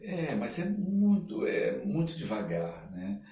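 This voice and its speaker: An older man with a Brazilian accent, telling personal anecdotes as an interview guest in a halting, reflective way. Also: mid-range voice, big pitch swings, mellow. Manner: halting, reflective, frequent tag questions. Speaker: interview guest, actor and storyteller. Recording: roomy echo, clear background noise.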